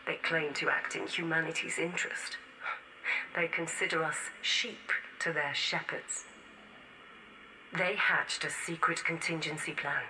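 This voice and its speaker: Low, sharp-edged defiant rebel woman. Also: slight background noise, poor audio. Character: defiant woman rebel leaving a grave final testament. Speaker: voice actor in a game audio log. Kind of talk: dramatic scripted monologue in a science-fiction game audio log.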